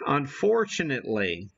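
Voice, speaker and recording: Slow-talking man, dull sound. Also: medium pitch, huge pitch swings, vocal fry, a bit bright. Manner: halting, folksy, self-deprecating. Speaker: host of a live ham radio demonstration. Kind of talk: casual live demo, thinking aloud.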